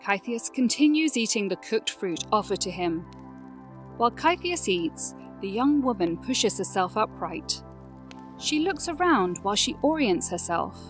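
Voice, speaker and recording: A woman reads scripted narration for a fantasy audio drama. Her voice sits at an average pitch, with faint music behind it. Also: sing-song, unhurried.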